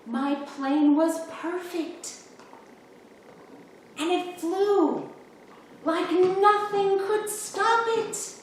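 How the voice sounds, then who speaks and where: A woman reading a children's picture book aloud in an expressive, deliberate storytelling manner. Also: very high-pitched. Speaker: storybook reader. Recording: echoey, distant mic, faint room noise.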